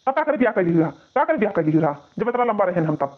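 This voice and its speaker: A man's voice: sits high, very animated, racing, very noisy. A man voicing an exasperated young village husband in a cartoon comedy, nagging and grumbling with an Awadhi accent on muffled lo-fi audio. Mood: scolding. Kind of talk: exaggerated cartoon comedy bickering.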